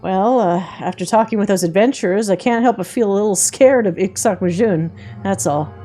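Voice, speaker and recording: A woman's voice: mid-range voice, moderate pace, warm tone, soft background music, lightly acted. A woman voicing a guarded, uneasy male lieutenant in a video game, hesitant and evasive. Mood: nervous, evasive.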